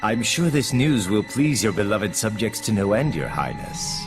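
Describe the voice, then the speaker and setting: An actor playing a snide, formal and condescending government messenger in a fantasy game. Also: male voice, fairly low pitch, sing-song, normal speed. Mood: smug, mocking. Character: snide, insinuating male messenger of a fantasy chancellor. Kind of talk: dubbed fantasy game acting. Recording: music behind the voice.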